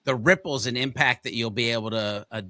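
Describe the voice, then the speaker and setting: Bright, upbeat man hosting. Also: medium pitch, brisk, no echo, studio quality. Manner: upbeat, enthusiastic. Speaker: podcast host. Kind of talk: casual interview chat.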